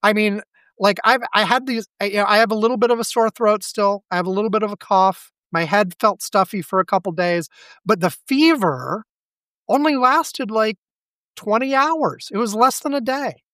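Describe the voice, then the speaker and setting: A man's voice: sits high, pushed and bright, no room sound, studio quality. Rambling man with a scratchy throat. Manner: rambling, halting, self-deprecating. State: scratchy throat, stuffy head. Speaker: podcast co-host. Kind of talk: casual chat.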